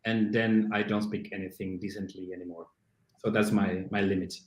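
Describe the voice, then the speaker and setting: A man's voice: lowish voice, little pitch movement, a little fast, a little mellow. A middle-aged man from Argentina with an Argentine Spanish accent, a native Spanish speaker chatting as a podcast guest, self-deprecating and full of restarts. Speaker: guest, CrossFit coach. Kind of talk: casual interview chat. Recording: roomy echo.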